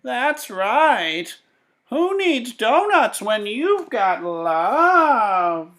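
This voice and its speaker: Sad old doorstep woman for a picture book, done by a man: high, loud, very slow, preachy. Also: sing-song, exaggerated voice. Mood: plaintive, scornful. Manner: shouted, drawn-out, preachy.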